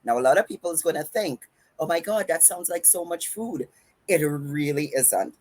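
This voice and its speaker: A woman with a Trinidadian accent explains diet goals on a podcast, running her words together as she lays out each point. Her voice sits low and has a husky edge. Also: expressive, normal speed, a little mellow. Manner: runs her words together, emphatic and instructive. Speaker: podcast host and fitness coach. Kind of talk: casual podcast advice.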